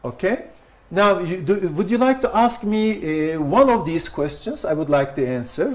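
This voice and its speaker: Low-voiced woman teaching English. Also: moderate pace. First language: Turkish. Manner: lively, teacherly, prompting with questions. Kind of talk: classroom role-play lesson.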